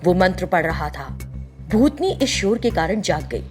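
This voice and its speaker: A woman narrating a scripted children's story in an expressive storytelling way. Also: on the low side, moderate pace, faint music behind.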